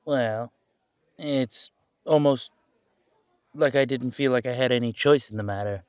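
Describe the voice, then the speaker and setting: A sardonic, rebellious young werewolf son, played by a man in an audio drama. His medium-pitched male voice is wry and skeptical, recorded dry with no room sound. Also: a little slow, darkish tone, clear, resonant tone, dry recording, lightly acted. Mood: wary, dry. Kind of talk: audio roleplay drama acting.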